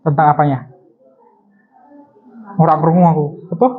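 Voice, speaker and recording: A man's voice: a little high, fairly even intonation, moderate pace, phone-quality sound. A man teaching a class, prompting students with short questions and repeating their answers.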